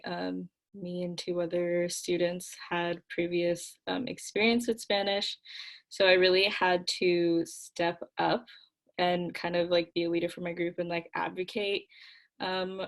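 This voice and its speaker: Young woman, low flat voice. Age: young adult. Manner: earnest, filler-heavy, steady. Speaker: student panelist. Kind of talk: panel discussion answer.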